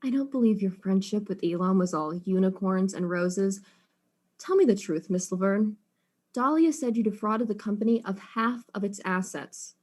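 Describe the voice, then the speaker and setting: A woman's voice: medium pitch, moderate pace, a little mellow, lightly acted. A woman as a curt, no-nonsense detective. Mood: probing. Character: blunt, tough, exasperated detective questioning suspects. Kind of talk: comic audio mystery drama acting.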